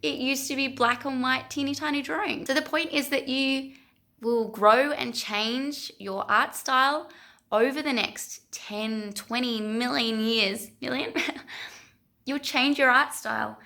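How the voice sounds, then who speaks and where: Bright, gravelly-voiced woman vlogger. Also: high-pitched, takes it slowly. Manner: chatty, self-mocking, encouraging. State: losing her voice. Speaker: art vlogger. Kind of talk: casual vlog commentary.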